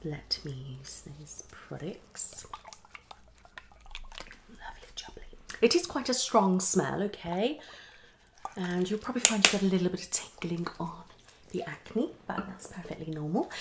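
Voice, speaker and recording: A British woman in her thirties speaking in a hushed, reassuring ASMR roleplay style as a dermatologist, half whispering in short repeated phrases. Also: on the low side, lively intonation, a little slow, heavy background noise. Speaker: ASMR creator playing a dermatologist. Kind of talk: ASMR medical roleplay, soft-spoken and whispered. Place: small room at home.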